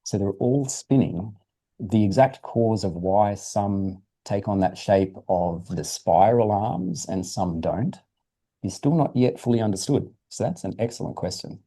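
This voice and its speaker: A man's voice: on the low side, expressive, a little slow, warm tone, breathy edge. A man presenting science, answering questions informally in an encouraging, explanatory way for a young audience.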